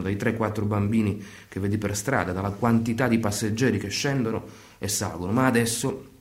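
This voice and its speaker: An actor playing a storyteller, narrating a scripted radio drama in flowing, list-like phrases. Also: male voice, on the low side, darkish tone, natural voice. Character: male storyteller narrating life in a war-torn town.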